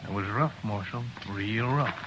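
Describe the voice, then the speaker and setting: An actor playing a plain-spoken frontier jailer in an old radio drama, easygoing and drawling. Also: male voice, fairly low pitch, a little slow, natural voice. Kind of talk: 1950s radio drama acting. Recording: noisy old radio recording.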